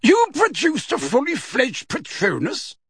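A man reading aloud, voicing a stern older female judge who booms her questions slowly and emphatically. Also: wildly swooping pitch, a bit bright, slightly rough, no room sound, clean studio sound, exaggerated voice. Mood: probing. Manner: booming, slow and emphatic.

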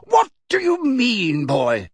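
A man reading aloud, voicing a stern older female judge who booms her questions slowly and emphatically. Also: wildly swooping pitch, breathy edge, dry recording, heavily acted. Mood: startled. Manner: booming, slow and emphatic.

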